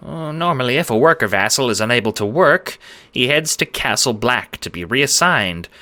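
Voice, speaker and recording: A man voicing a small, timid, squeaky-voiced shelled farmer creature in a read-aloud story. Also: animated, moderate pace, sharp tone. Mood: glum.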